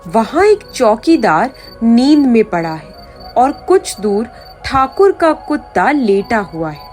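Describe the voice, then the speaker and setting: A woman narrating an animated folk story in a scripted storytelling style. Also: fairly high pitch, slow pace, soft background music.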